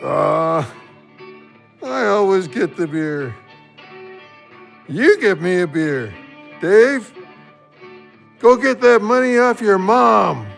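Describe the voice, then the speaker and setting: A man playing a heartbroken, slow-witted-sounding but thoughtful drunk in an audio drama, rambling in a slurred voice. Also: sits high, sing-song, vocal fry, soft background music, heavily acted. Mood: pleading.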